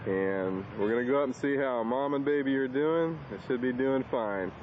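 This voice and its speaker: A man's voice: medium pitch, normal speed, a little hiss or hum. Man filming his own home video. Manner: chatty, narrates while filming. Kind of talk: casual home video narration. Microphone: camcorder mic. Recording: dull lo-fi VHS tape.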